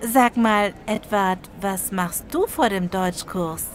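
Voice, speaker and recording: Frau spielt langsam sprechende, direkte Sprachschülerin. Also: female voice, medium pitch, takes it slowly, a faint crowd, slight background noise, lightly acted. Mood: questioning. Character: hard-working, blunt female fellow language learner. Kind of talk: scripted language-lesson dialogue.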